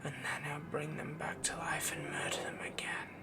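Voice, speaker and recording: A man playing a possessive, doting young werewolf boyfriend in a soft, breathy, half-whispered intimate roleplay audio, rambling and dominant as he coos endearments. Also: on the high side, level tone, normal speed, very noisy. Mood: jealous, possessive.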